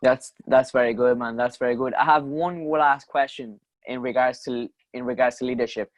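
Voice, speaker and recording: A man's voice: mid-range voice, steady tone, moderate pace, no room sound. A man interviewing a guest in a casual chat, affirming him and asking short questions.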